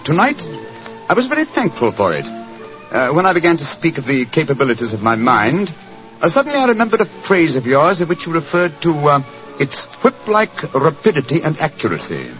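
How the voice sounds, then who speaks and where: An actor playing a brilliant, self-assured British detective in theatrical, urbane 1940s radio style. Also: male voice, on the high side, wildly swooping pitch, a little fast, soft background music. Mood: urbane, explaining. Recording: muffled 1940s radio recording.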